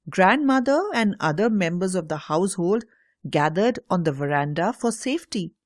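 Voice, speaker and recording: A woman narrating a scripted children's story in a measured, carefully enunciated read-aloud manner. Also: medium pitch, dry recording, clean studio sound.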